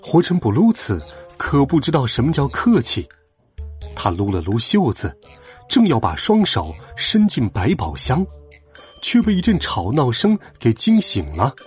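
A man hosting and narrating children's stories in an expressive style. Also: medium pitch, unhurried, slightly rough, soft background music. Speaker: children's storyteller, show host.

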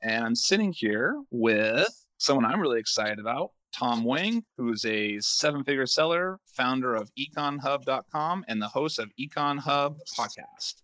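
A man's voice: mid-range voice, animated, moderate pace, hardly any pauses, sharp tone, no room sound. An upbeat man hosts a podcast in easy, casual conversation, laughing often. His voice sits in a middle register. He records in a hotel room with a dry, close sound. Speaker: podcast host. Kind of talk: casual interview chat.

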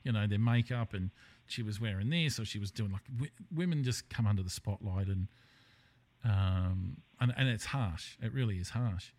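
A man's voice: lowish voice, normal speed, a little mellow. A man hosting a casual podcast chat, talking in a rambling, hesitant way that often trails off mid-thought. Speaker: podcast host. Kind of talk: casual conversational podcast interview.

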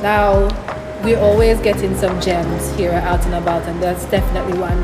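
A woman's voice: on the low side, level tone, moderate pace. A woman hosting a magazine interview show, chatty and enthusiastic as she introduces and questions her guest. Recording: loud background music.

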